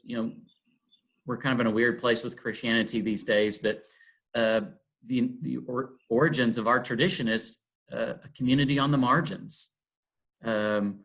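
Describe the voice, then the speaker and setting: A middle-aged man from Texas speaks in a thoughtful, unhurried way. He pauses with ums and circles back on his phrases as he works through an idea. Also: medium pitch, fairly bright tone. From Texas, USA. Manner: thoughtful, many ums and restarts. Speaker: interfaith dialogue guest. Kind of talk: reflective conversation.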